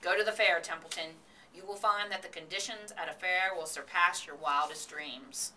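A knowing old sheep from a children's tale, voiced by a woman narrator, coaxing in measured, persuasive phrases. The voice is on the low side and bright, heard a little far from the mic. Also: steady tone, slight background noise.

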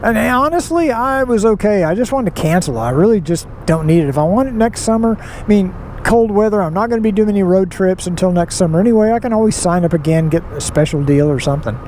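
A man's voice: high-pitched, animated, quick pace, hardly any pauses, a little mellow. A middle-aged man vlogging as he rides a motorcycle, recorded on a helmet mic, telling a story in a folksy, rambling way with lots of asides. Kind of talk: casual riding vlog monologue. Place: outdoors riding a motorcycle on city roads. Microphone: helmet mic, helmet-mounted camera. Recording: road and wind noise from riding.

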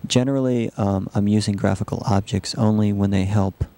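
A man narrating a software demonstration as a researcher, explaining it in a halting, measured way. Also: lowish voice, steady tone. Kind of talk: live demo narration of a computer animation.

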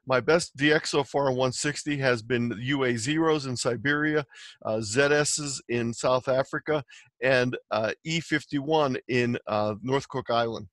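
Guy walking thru slides, plain matter-of-fact teaching voice, mid pitch, really dry sound. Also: a little slow, a bit bright, dry recording. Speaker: amateur radio presenter. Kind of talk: slide presentation, explanatory lecture.

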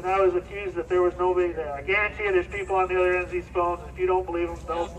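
A man's voice: high-pitched, level tone, racing, lo-fi sound, slight background noise. A man with an American Midwestern accent working as an auctioneer, addressing an outdoor farm sale. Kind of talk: live farm auction calling and announcing. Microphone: distant handheld camera picking up PA. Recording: distant outdoor loudspeaker sound.

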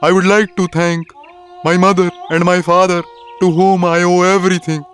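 A man's voice: sits high, little pitch movement, moderate pace, a bit bright, faint music behind. A man voicing a sorrowful, proud cartoon animal making melodramatic, solemn speeches. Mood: solemn, sad.

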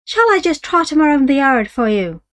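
A woman's voice: sits high, big pitch swings, normal speed, pitched above the performer's own voice. Woman doing a wheedling Irish horse dealer. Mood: coaxing. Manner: wheedling sales patter. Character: smooth-talking Irish male horse dealer.

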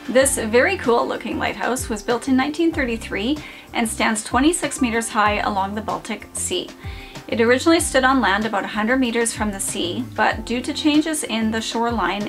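Upbeat woman narrating over music. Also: fairly high pitch. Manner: upbeat, enthusiastic descriptive narration. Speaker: host of a lighthouse channel. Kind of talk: scripted narration. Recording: clear background music.

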